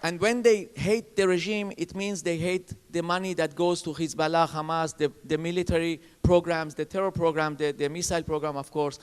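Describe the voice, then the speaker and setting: Panelist, man, English, emphatic, somewhat high voice with a smooth, resonant tone. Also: fairly even intonation. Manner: emphatic, repetitive, halting phrasing. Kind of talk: panel discussion. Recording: reverberant conference hall.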